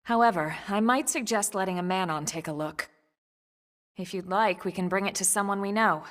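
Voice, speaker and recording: A woman's voice: medium pitch, moderate pace, lightly acted. A woman playing a dryly wry, decisive squad leader in a video game, speaking with controlled composure. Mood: composed, decisive. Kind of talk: video game cutscene acting.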